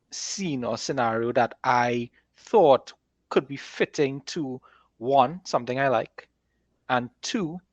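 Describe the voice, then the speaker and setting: A man speaking as an author and podcast co-host in a conversational, measured, explanatory way. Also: mid-range voice, a bit bright, dry recording. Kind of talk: conversational interview discussion. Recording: dry, slightly dull microphone sound.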